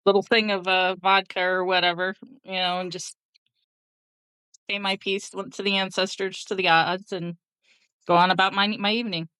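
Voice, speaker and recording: Female panelist, medium pitch, clean resonant tone. Casual, matter-of-fact explainer. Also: little pitch movement, normal speed, sharp tone, smooth, no echo, rough recording. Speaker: podcast panelist. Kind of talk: casual group discussion.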